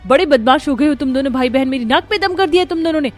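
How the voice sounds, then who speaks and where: Cartoon family drama: irritable young city wife played by a woman, complaining and sarcastic, highish voice over faint music. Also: fast talker, close to an everyday voice. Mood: angry, defiant. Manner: sarcastic, grumbling. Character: irritable young city-bred daughter-in-law, sulky and complaining. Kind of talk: Hindi animated family-drama story acting.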